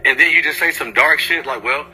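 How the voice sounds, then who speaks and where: A man with a high, very bright and forceful voice argues on a recorded phone call. He is confrontational and swears freely. He hammers the same points repeatedly. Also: brisk, soft background music. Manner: confrontational, repetitive, profane. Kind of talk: heated argument on a phone call.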